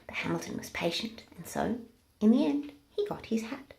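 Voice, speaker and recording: A woman's voice: on the high side, lively intonation, moderate pace, vocal fry, a little mellow. A woman narrating a picture book aloud for children in a storytelling style.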